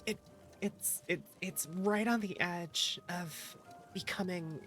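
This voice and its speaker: A woman streamer talks casually to her chat in a slightly low voice. Her pace is very leisurely, and her manner is playful and teasing. Faint music plays behind her. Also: takes it slowly, a bit bright. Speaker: streamer, virtual YouTuber. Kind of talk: casual livestream chat with viewers. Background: background music.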